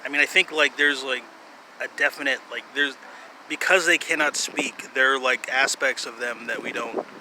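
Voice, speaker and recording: A man in his thirties rambles in a medium-pitched voice, stopping and restarting with a sardonic edge. He is outdoors, with clear street and vehicle noise around him. The delivery is a loose, casual monologue. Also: fairly even intonation, pushed and bright. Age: 30s. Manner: halting, repetitive, sardonic, profane. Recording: clearly noisy outdoor urban ambience, traffic.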